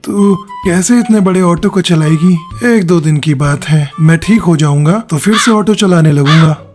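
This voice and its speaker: A woman's voice: lowish voice, moderate pace, a little mellow, soft background music, natural voice. A woman voicing a caring middle-aged father bird in a cartoon, speaking with fond concern. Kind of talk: Hindi cartoon character dialogue.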